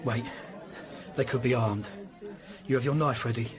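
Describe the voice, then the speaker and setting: An actor playing a tough, loyal lawyer's assistant in a period radio drama, blunt and plain-spoken. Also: male voice, medium pitch, normal speed, a crowd in the background, lightly acted. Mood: tense, threatening.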